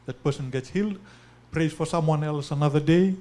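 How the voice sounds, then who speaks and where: A man asking a question from the audience at a forum, hesitant and deliberate in his phrasing. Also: on the high side, darkish tone.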